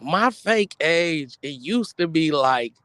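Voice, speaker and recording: A man's voice: fairly high pitch, takes it slowly, smooth, dry recording. Dramatic, slangy American man in casual banter. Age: 30s. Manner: animated, dramatic mock protest.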